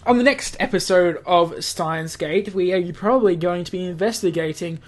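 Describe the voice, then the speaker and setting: A man's voice: sits high, normal speed. Man reading a visual novel aloud. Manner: stumbles over words and names. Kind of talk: read-aloud narration with casual commentary.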